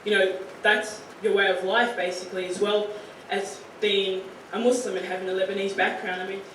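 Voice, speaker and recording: A young Australian woman in her late teens, speaking earnestly and casually to high school students, with many fillers like "you know" and "like.". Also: flat delivery, fairly quick, a bit bright. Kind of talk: informal talk to a student audience. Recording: very echoey room, picked up from far off, faint hiss.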